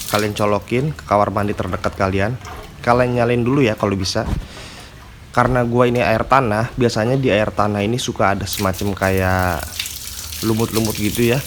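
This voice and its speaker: Male vlogger, slightly low voice, stuffy nose from a cold, relaxed how-to delivery with faint noise behind. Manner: casual slangy talk, frequent fillers. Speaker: aquarium hobby vlogger. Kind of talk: casual tutorial chat.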